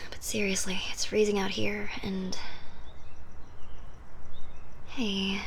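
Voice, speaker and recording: A woman playing a devoted, obsessive modern vampire in an intimate ASMR roleplay, flirty and sardonic, often dropping to a breathy hush. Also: medium pitch. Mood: flirty, pleading.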